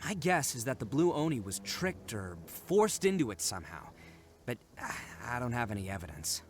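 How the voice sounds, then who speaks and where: Man voicing animated, proud young oni. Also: medium pitch, moderate pace, soft background music, lightly acted. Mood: proud, resolute. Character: proud, earnest, brash young male oni gang leader. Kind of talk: scripted video game voice acting.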